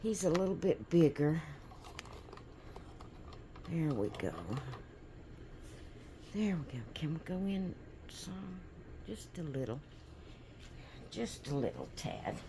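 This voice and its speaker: A woman chatting casually in a home vlog, hoarse from sneezing, rambling with many fillers and restarts. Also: low-pitched, lively intonation, takes it slowly, pauses often, noisy background. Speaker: doll channel vlogger. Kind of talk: casual chat. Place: at home indoors.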